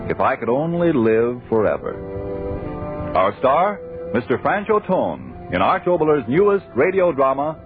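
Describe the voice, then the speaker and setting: A man's voice: mid-range voice, sing-song, measured pace, phone-quality sound. A man announcing a vintage radio drama in a dramatic, projected 1940s broadcast style. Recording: thin old radio recording with music. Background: music.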